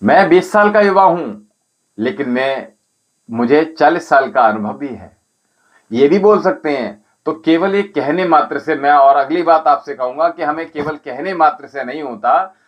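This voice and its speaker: A man who teaches spirituality and Ayurveda, answering viewers' comments on a livestream and calling each of them by name in a measured, instructive way. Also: on the high side, expressive, muffled recording. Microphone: livestream setup.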